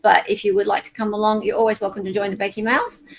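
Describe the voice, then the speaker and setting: A woman's voice: mid-range voice, flat delivery, quick pace. A woman hosting a casual livestream chat, talking in an informal, emphatic way. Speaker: livestream host.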